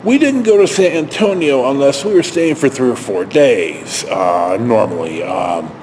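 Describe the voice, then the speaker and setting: An older, retired man telling stories casually to camera in a halting, rambling, self-correcting way. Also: medium pitch, lively intonation, a little mellow, slight background noise, rough recording. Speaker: video storyteller.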